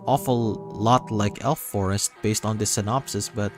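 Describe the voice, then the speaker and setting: A man's voice: on the low side, steady tone, moderate pace. Man reading a story aloud over music. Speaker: streamer reading a visual novel aloud. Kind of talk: livestreamed dramatic read-aloud, narration. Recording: music behind the voice.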